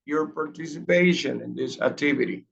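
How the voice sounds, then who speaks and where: A man's voice: on the high side, moderate pace, gravelly, lo-fi sound, slight background noise. A man teaching an English class online, patient and repeating his questions to coax students to speak.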